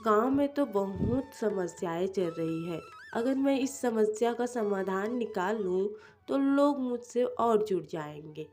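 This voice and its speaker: A woman's voice: medium pitch, lively intonation, measured pace, a little mellow, lightly acted. A woman voicing a clever, kindly young village man who sells vegetables in a cartoon story, friendly and earnest. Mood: thoughtful. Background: music.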